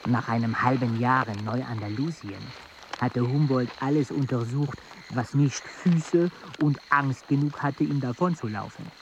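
An actor playing a calm male storyteller in a radio play, measured and unhurried. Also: mid-range voice, background noise, lightly acted.

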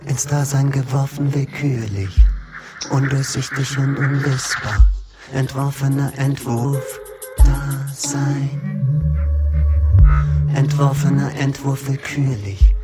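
A man playing a detached philosophical chanter in an avant-garde radio play, reciting clipped phrases in a monotone. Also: medium pitch, slow, loud music behind the voice, lightly acted. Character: detached philosophical chanter of being thrown into existence.

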